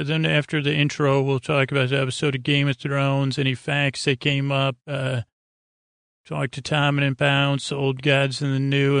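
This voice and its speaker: An American man hosting a sleep podcast, rambling in a low-key, halting way and trailing off mid-thought. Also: vocal fry, fairly bright tone, no echo, studio quality. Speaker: podcast host.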